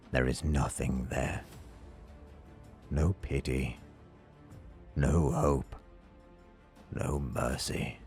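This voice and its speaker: A man narrating scripted fiction in a dramatic, solemn and measured way. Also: extremely low pitch, a little mellow. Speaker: audiobook narrator. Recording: music under the voice. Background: background music.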